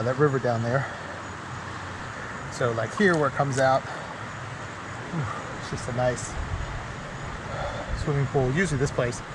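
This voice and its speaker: A man talking casually and haltingly as he hosts an outdoor camping vlog, with a laid-back, folksy manner. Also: mid-range voice. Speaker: vlog host. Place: outdoors at a state park and campsite. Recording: noisy outdoor recording with insects.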